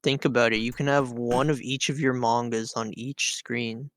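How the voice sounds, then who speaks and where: A man's voice: mid-range voice, steady tone, moderate pace, a bit bright. A man co-hosting a podcast, tossing short, crude wisecracks into casual chat. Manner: wisecracking, crude-humoured interjections. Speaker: podcast co-host. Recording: clear background noise with clicks.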